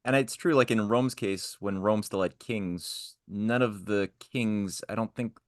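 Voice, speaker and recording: Low-voiced man, tentative and questioning. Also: measured pace, dry recording, studio quality. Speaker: study group participant. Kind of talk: informal discussion.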